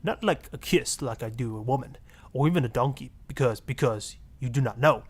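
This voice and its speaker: A man's voice: mid-range voice, fairly quick, breathy edge. A man voicing a suave, sleazy marriage guru with a Spanish accent, florid, seductive and pompous, in a satirical game radio style. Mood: passionate, wounded. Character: suave, sleazy Latin male marriage guru, grandly passionate.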